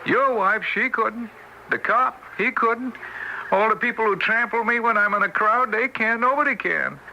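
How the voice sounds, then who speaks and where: A wistful, fretful old ghost of a father in a vintage radio play. He is hesitant and apologetic, with a gravelly, sharp-edged voice that sits a little high, over faint noise from the old broadcast. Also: moderate pace, lo-fi sound, heavily acted. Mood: resigned. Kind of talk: old-time radio drama acting.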